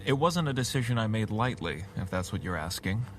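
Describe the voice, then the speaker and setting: A man playing a calm, dry-witted gentleman hero, speaking politely and with measured understatement in a radio drama. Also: on the low side. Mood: earnest, guarded.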